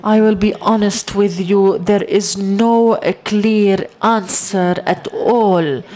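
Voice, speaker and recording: Slow, halting woman interpreter. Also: mid-range voice, fairly even intonation, dark tone. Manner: halting, with many long hesitations. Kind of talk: simultaneous interpretation of a lecture. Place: lecture hall.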